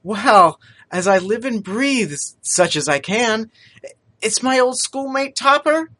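Man reading a polite young gentleman who stammers, slightly raised and bright. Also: high-pitched, animated, takes it slowly, voice pushed up, exaggerated voice. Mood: friendly. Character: earnest, apologetic young gentleman, the son.

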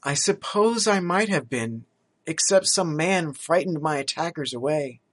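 A man voicing an earnest, polite young gentleman in a storybook reading, who stammers now and then. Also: a little high, expressive, unhurried. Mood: apologetic.

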